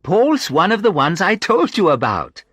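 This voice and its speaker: A man's voice: on the high side, huge pitch swings, measured pace, fairly bright tone, no room sound, clean studio sound, pitched above the performer's own voice, heavily acted. A man voicing a confident, friendly, teasing woman from Brazil in an audiobook story, with playful and emphatic delivery. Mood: warm, bright. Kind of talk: audiobook character dialogue.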